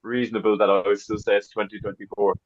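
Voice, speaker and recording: A man's voice: lowish voice, flat delivery, quick pace, dry recording, muffled recording. A man co-hosting a podcast chat with dry jokes and mock grumbling. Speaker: podcast co-host. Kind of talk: casual chat over a video call.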